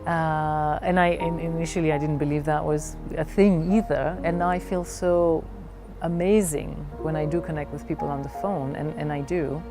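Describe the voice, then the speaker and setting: A middle-aged woman from Belgium telling her personal story in a reflective way, haltingly searching for her words. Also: sits low, fairly even intonation, over music. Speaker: leadership coach.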